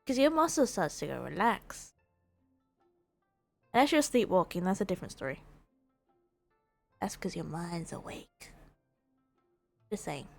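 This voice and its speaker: A woman streamer giving casual, rambling gameplay commentary, reacting and thinking out loud. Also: on the low side, very animated, measured pace, creaky, long pauses, soft background music. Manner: rambling, musing aloud, reactive. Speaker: streamer playing a game.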